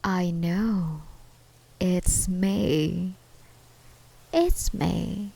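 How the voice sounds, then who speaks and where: Girl doing a creepy obsessed ex for asmr roleplay, sweet but menacing, draws words out, crackly fry in the voice, unhurried. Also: lowish voice, dark tone, heavily acted. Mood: menacing, gloating. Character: obsessed, unhinged ex-girlfriend stalker, taunting and possessive. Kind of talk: ASMR roleplay acting, one side of a conversation.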